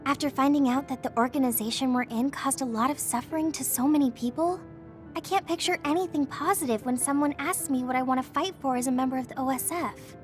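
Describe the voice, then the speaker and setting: Video game heroine played by a woman: high-pitched teenage girl soldier, sincere, upbeat but unsure of herself. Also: fast, soft background music, lightly acted. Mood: troubled, downcast. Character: cheerful but self-doubting teenage girl soldier, earnest. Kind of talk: English video game voice acting.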